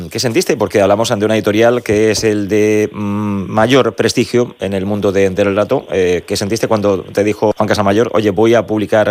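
A man's voice: on the low side, a little mellow. A man hosting a radio interview, asking curious questions with fillers like "eh" and "oye".

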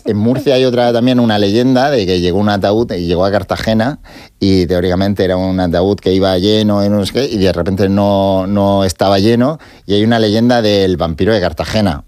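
A man talking about films on a radio show, pausing often with fillers. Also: lowish voice, steady tone, a little mellow, no room sound. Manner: hesitant, many fillers. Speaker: guest film expert. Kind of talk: casual radio talk.

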